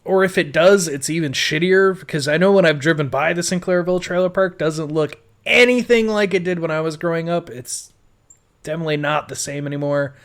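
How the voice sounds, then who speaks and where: A man's voice: a little high, a little fast, fairly bright tone. An American man telling his life story casually as a podcast host, rambling and swearing freely. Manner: rambling, foul-mouthed.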